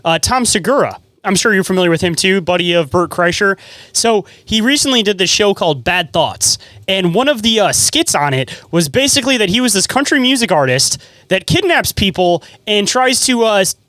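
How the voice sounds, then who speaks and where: A man's voice: high-pitched, expressive, normal speed, bright, forceful tone, no echo. A man hosting a podcast in a casual, conversational way, informally circling his way toward questions. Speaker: podcast host.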